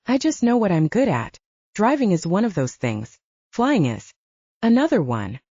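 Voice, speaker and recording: A woman's voice: mid-range voice, big pitch swings, moderate pace, mellow, breathy edge, no room sound. A woman voicing an earnest, self-assured male professor who is a werewolf, in audiobook dialogue. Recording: dull, lo-fi audio.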